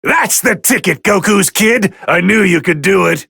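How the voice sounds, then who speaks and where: A man playing a haughty, bored god of destruction who commentates on video game fights in a condescending, sardonic, theatrical drawl with a gravelly edge. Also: high voice, lively intonation, a little slow, bright, forceful tone, no room sound, studio quality, heavily acted. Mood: amused approval. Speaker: match commentator.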